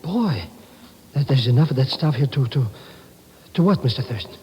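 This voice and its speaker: An actor playing an excitable, cowardly, easily baffled sidekick in a 1950s radio drama, with a jittery, stammering delivery. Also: male voice, mid-range voice, moderate pace, highs cut off, natural voice. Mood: amazed. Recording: noisy vintage radio recording.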